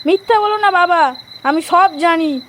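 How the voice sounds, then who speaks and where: A woman's voice: extremely high pitch, normal speed, fairly bright tone, a little hiss or hum. A woman voicing an earnest, defiant seven-year-old boy in an audio drama, with a childlike way of speaking. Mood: accusing, firm.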